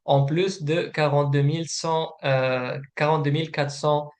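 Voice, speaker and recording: Male webinar presenter, slightly high voice, formal and informational, hesitant with frequent filler pauses. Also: steady tone, darkish tone. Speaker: program manager presenting a webinar.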